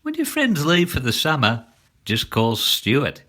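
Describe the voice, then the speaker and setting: A man's voice: medium pitch, huge pitch swings, measured pace, pushed and bright, heavily acted. A man playing an earnest announcer in a comedy radio promo. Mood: earnest, promotional.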